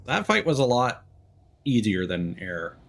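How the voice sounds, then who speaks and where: A man's voice: mid-range voice, sing-song, measured pace, vocal fry, a bit bright. A man giving casual live commentary as a game streamer, wry and musing aloud. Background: faint game music.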